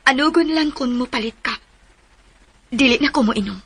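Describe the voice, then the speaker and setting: A woman's voice: high voice, normal speed, very noisy. An actress playing a troubled, fearful young woman in a Philippine radio drama, hesitant and emotional, with a Cebuano Filipino accent. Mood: weary, stubborn.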